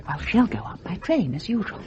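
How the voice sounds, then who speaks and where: A woman's voice: medium pitch, very animated, a little fast, highs cut off, close to an everyday voice. An actress playing a brittle, sardonic middle-aged society wife and mother in a radio drama, arch and drawling.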